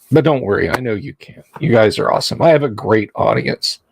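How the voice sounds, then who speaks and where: A man's voice: medium pitch, gravelly, husky, dry recording. A man hosting a casual livestream chat, rambling and self-deprecating, often restarting his sentences. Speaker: livestream host.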